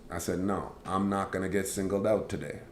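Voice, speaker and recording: Deep booming guy telling a long story on his stream, lots of restarts, roomy echo. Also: brisk. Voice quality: big booming voice. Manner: rambling, animated storyteller with frequent restarts. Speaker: host. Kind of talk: casual storytelling to a live stream audience. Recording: echoey room with faint background noise.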